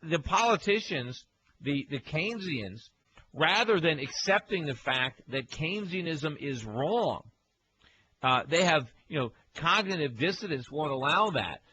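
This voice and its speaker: A man hosting a call-in radio show from a home studio, talking in a quick, chatty way with many fillers and repeats. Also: a little high, animated, bright, forceful tone, a little hiss or hum, rough recording. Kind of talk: casual call-in talk radio.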